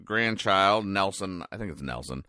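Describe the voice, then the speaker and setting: A man with a deep voice gives casual commentary as a podcast host. He explains things with deadpan, mock-serious care, pausing and hesitating as he goes. The sound is dry and close, with little room in it. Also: no room sound. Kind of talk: casual comic-strip recap commentary.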